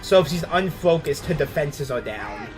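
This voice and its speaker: A man's voice: a little high, expressive, moderate pace, music in the background. A man giving casual, conversational commentary as co-host of a reaction stream.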